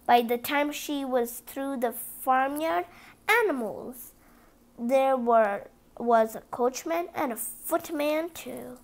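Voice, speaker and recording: A woman's voice: high-pitched, slow. A woman reading a children's story aloud, stumbling now and then over the words. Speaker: storybook reader.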